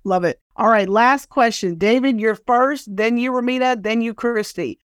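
A woman's voice: fairly high pitch, normal speed, clean tone, dry recording, clean studio sound. A woman hosting a podcast, moderating a panel in a conversational way. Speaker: podcast host.

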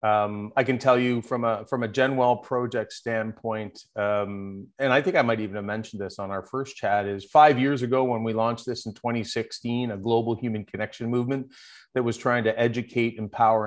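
A man's voice: medium pitch, steady tone, normal speed. A man being interviewed as a guest, speaking in an earnest, upbeat way over a remote call. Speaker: guest, founder of a connection project. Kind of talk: interview conversation.

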